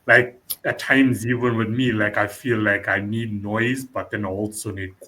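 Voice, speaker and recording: A man hosts a podcast in a relaxed conversational style. His voice sits a little low. He chats informally, repeating short phrases as he goes. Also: normal speed. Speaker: podcast host. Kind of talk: casual interview chat.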